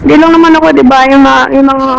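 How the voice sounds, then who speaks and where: A woman's voice: sits high, fairly even intonation, fast, vocal fry. A woman chatting casually and apologetically as a motorcycle taxi passenger, through a helmet intercom. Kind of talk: casual chat. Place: outdoors on a moving motorcycle taxi. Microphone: helmet intercom microphone. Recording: noisy helmet intercom with vehicle noise.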